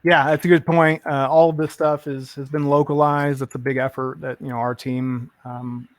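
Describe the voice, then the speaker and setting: A man's voice: medium pitch, flat delivery, moderate pace, dry recording. A man chatting enthusiastically and rambling a bit on a tech stream, full of fillers. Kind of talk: casual tech chat.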